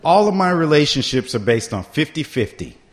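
A man's voice: a little high, very animated, fairly quick, a little hiss or hum. A middle-aged man telling his own story at a recovery meeting, conversational and often checking in with the listeners. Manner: conversational, keeps asking "right?" to the room. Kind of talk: personal story told to a meeting.

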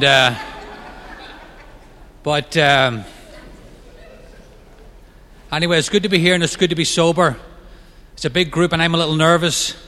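A middle-aged man with a Northern Irish accent, telling his recovery story as main speaker at a meeting, quick and self-mocking. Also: on the high side, bright, forceful tone, big-room sound, a little hiss or hum. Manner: fast-talking, self-mocking humor. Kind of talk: personal recovery talk.

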